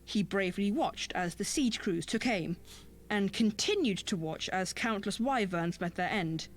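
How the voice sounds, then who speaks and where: Female retainer, fantasy game, deadpan, sardonic, formal, faint music behind. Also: medium pitch, a little slow. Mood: dryly mocking.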